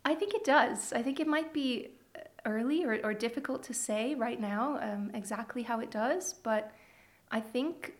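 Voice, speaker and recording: A woman's voice: on the high side, normal speed. A woman in her thirties with a Canadian accent, chatting thoughtfully and a little hesitantly as a musician guest in a radio interview.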